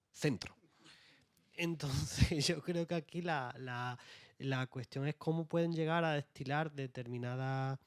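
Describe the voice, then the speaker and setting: A man's voice: fairly high pitch, no room sound. A young man from Jaén with an Andalusian accent, speaking as an author on a panel, hesitant with many fillers and restarts. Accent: Andalusian Spanish. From Jaén, Spain. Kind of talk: round-table discussion.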